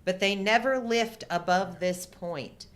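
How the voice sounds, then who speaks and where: A middle-aged woman co-hosting a show in casual movie chat, talking informally in halting fragments. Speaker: co-host of a live chat show. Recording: low rumble and background noise.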